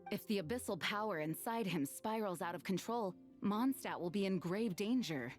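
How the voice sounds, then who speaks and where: A woman's voice: medium pitch, lively intonation, normal speed, a little mellow, no echo, lightly acted. A woman voicing a composed, dutiful female knight commander in a fantasy video game. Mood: concerned. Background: game music.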